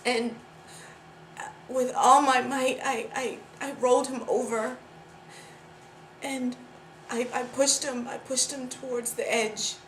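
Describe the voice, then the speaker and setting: An actress playing a guilt-ridden woman confessing a long-held secret in a dramatic monologue, halting and repeating herself. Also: high voice, steady tone, a bit bright. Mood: distressed. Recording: echoey room with clear background noise.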